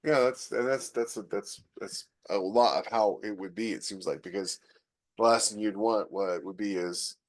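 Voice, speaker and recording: A man's voice: lowish voice, moderate pace. A man co-hosting a podcast in loose, casual conversation. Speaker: podcast co-host.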